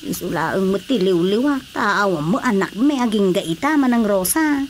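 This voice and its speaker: A woman playing a lively, defensive wife who dotes on her cat, in an Ilocano radio drama comedy with an Ilocano accent. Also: medium pitch, moderate pace, slight background noise, close to an everyday voice. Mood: complaining.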